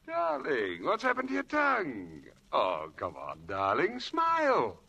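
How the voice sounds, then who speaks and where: An actor playing an exuberant, charming, roguish gambling husband in theatrical 1940s radio style. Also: male voice, a little high, a little slow, vocal fry, slightly rough, exaggerated voice. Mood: coaxing. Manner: theatrical, excitable, coaxing. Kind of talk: 1940s radio drama acting. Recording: vintage radio drama broadcast.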